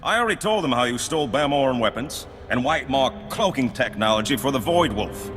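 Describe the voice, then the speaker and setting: An actor playing a smug, taunting gambler and double agent in a video game, with a mocking, condescending way of talking. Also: male voice, medium pitch, lively intonation, brisk, natural voice. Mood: smug mockery. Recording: long hall reverb under loud music. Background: loud game music.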